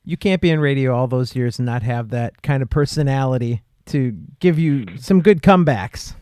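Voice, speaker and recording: A man's voice: medium pitch, animated, normal speed, a little mellow, clean tone, dry recording, clean studio sound. A man hosting a podcast interview, asking short questions and reacting briefly. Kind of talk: casual interview.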